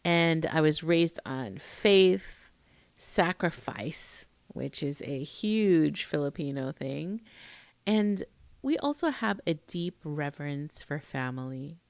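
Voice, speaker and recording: American woman late 40s talking to camera, slow and expressive, really dry close sound. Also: deep voice, dry recording. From Queens, New York, United States. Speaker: vlogger, emotional intimacy coach. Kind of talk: personal storytelling to camera.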